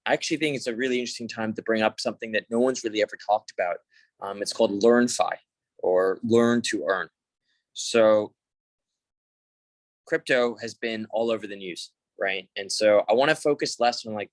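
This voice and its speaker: A young man with a fairly low voice talks casually over a video call, the sound close and dry. He presents in an easygoing, informal way, full of fillers and restarts. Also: no room sound, low-quality recording. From Hong Kong. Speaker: conference speaker.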